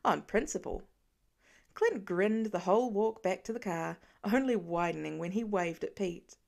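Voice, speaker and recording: A woman's voice: mid-range voice, normal speed, gravelly, darkish tone. A woman reading a story aloud as scripted audiobook narration. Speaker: fan fiction audiobook reader.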